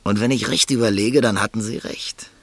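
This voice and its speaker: Tiefe Stimme eines Erzählers, trocken aufgenommen. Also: male voice, lowish voice, a little fast, no room sound. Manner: calm, reflective first-person telling. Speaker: narrator. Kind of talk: radio play narration.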